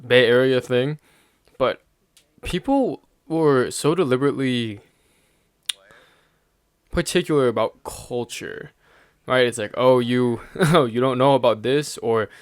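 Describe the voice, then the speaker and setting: A man's voice: mid-range voice, measured pace, pauses often, clean tone, dry recording, clean studio sound. A young man from the United States giving a casual, rambling opinion monologue, thinking aloud and chuckling often mid-sentence. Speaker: vlogger sharing opinions.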